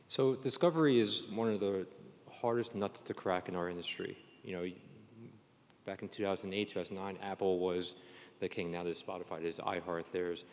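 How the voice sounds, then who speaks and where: A man with a lowish voice moderates a casual panel. His questions are informal and conversational, and the sound carries the long reverb of a hall. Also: steady tone, normal speed.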